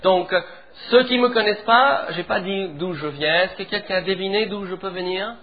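A middle-aged man from England, a pastor preaching a sermon in French with an English accent, leading the congregation in animated declarations. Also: high voice, moderate pace. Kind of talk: sermon in church. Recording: tinny phone-like sound with faint music.

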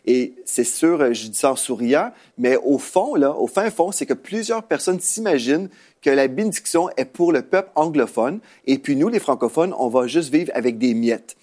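A man's voice: medium pitch, lively intonation, moderate pace, darkish tone. A man with a Canadian French accent, a pastor and host teaching in a conversational televised sermon style.

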